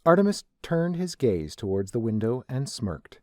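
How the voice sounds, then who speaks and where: A man's voice: medium pitch, sing-song, normal speed, warm tone, dry recording, studio quality. A man narrating a scripted story.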